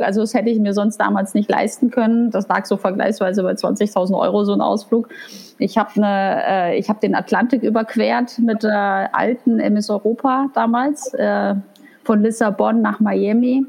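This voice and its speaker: A middle-aged woman from Poland speaks as an interview guest. She is frank and chatty, full of restarts and filler sounds, at a middling pitch. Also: creaky, darkish tone. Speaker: guest, head of a transit authority. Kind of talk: casual interview talk.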